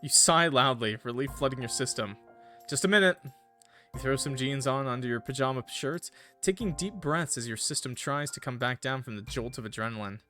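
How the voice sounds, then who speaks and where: A man's voice: medium pitch, fairly quick, few pauses, pushed and bright. A man streaming a visual novel, reading it aloud expressively with casual, jokey reactions. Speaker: gaming streamer. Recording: music behind the voice.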